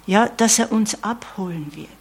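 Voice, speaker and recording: A woman's voice: medium pitch, moderate pace, breathy edge. A woman preaching a sermon in an earnest tone, often adding "ja?" asides and dropping to a whisper.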